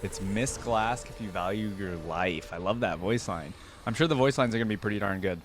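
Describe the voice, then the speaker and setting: Low-voiced man doing casual reaction commentary. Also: a little fast, slight background noise. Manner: informal, musing aloud. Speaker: reaction video host. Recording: game music playing behind.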